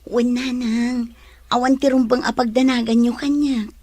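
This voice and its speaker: A husky, fairly high-pitched woman acting as a young Ilocano mother, forgiving and dignified. She is a dutiful daughter in an audio drama, recorded close and dry. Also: fairly even intonation, moderate pace, a little mellow, no echo, lightly acted. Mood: reassuring.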